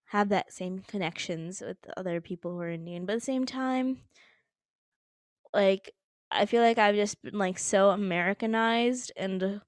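A young woman hosts her own podcast, talking loosely and filling every pause with "like" and soft laughs. Her voice is a little hoarse from allergies, pitched in an ordinary middle range. The recording is dry, with no room echo. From United States. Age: young adult. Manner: chatty, heavy use of "like", frequent little laughs. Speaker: podcast host. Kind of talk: casual solo chat.

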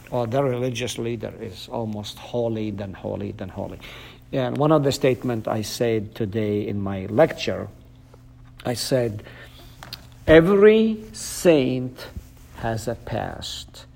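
Gravelly middle-aged pastor, native Arabic speaker. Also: male voice, fairly low pitch, darkish tone, slight background noise. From Palestine. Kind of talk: casual talk with an audience.

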